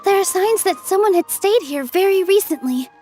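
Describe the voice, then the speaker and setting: Very high-voiced gentle young heroine. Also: female voice, expressive, moderate pace, soft background music. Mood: determined. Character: gentle, caring young female dancer, earnest and hopeful. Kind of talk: video game character acting.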